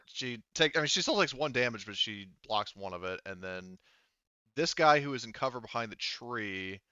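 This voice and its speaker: A man's voice: mid-range voice, animated, normal speed, bright, forceful tone, clear, resonant tone, lo-fi sound. A man casually refereeing a tabletop game as game master, thinking aloud in an informal way.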